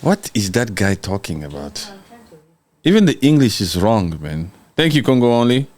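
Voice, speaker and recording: A man's voice: on the low side, sing-song, moderate pace, a little mellow. A man hosting a casual livestream, reading out viewer comments and answering them in a brash, mocking, joking way, often breaking into laughter. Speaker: livestream host.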